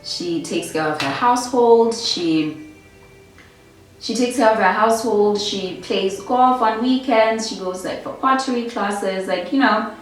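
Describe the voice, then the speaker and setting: A woman's voice: mid-range voice, a little slow, far from the mic, faint music behind. Chatty Motswana woman in an echoey bedroom. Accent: Botswana. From Botswana. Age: young adult. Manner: chatty, lots of "like" and "my girl", animated storytelling. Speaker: beauty vlogger. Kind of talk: casual chat while doing makeup. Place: small bedroom indoors.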